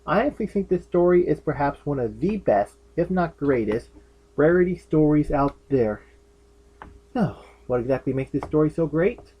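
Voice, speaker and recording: A man's voice: fairly high pitch, lively intonation, normal speed, mellow, breathy edge, poor audio. A man hosting a fan fiction review, narrating in a chatty, opinionated way with enthusiastic, casual comic asides. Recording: music behind the voice. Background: background music.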